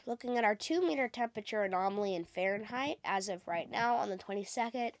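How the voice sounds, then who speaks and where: A woman's voice: medium pitch, quick pace. A woman who is a little sick, giving an informal, explanatory amateur weather forecast as she talks through maps. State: sick with a cold. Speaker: amateur weather vlogger, host. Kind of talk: casual weather forecast commentary over maps.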